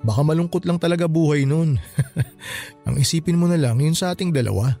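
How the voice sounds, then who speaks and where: An actor playing a smooth-talking, scheming secret lover with a Filipino accent in a radio drama. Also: male voice, on the high side, a little fast, mellow, soft background music, natural voice. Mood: coaxing, scheming. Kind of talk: Tagalog radio drama acting.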